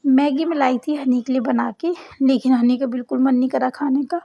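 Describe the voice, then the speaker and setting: A young woman with a sore, hoarse throat, chatting to her viewers in a voice-over for her travel vlog. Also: high-pitched, fairly even intonation, fairly quick, muffled recording. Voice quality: husky, cracked voice. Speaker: vlogger. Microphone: voice-over recorded separately.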